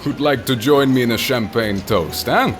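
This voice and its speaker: An actor playing an urbane, menacing male captain of a pleasure ship in an audio drama, speaking in a deliberate, theatrical way. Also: medium pitch, lightly acted. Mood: jovial hosting. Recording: music behind the voice. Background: music.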